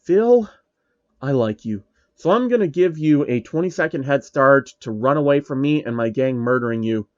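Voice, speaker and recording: A man voicing a calm male guild leader who speaks steadily and can turn quietly threatening. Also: medium pitch, moderate pace, no room sound, highs cut off, lightly acted. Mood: menacing.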